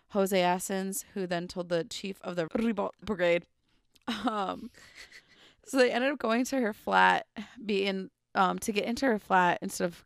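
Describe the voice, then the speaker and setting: A woman's voice: mid-range voice, vocal fry, no room sound, studio quality. A young woman co-hosting a podcast, casually telling a true crime story with lots of "like" and "um" fillers. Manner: chatty, full of "like" and "um" fillers. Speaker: podcast co-host.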